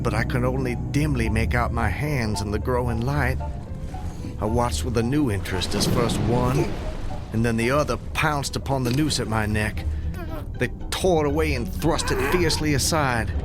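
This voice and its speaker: A man's voice: medium pitch, close to an everyday voice. Actor as haunted condemned man over heavy music. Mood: detached, fascinated. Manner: formal, deliberate, vividly descriptive. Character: condemned man recounting his hanging and escape, solemn, intense. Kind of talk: radio drama acting, literary first-person storytelling. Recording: music under the voice. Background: background music.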